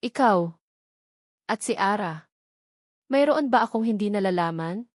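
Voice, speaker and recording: A woman's voice: mid-range voice, expressive, measured pace, no echo. Woman as curious male boss, direct, probing, concerned, audiobook drama, dry recording.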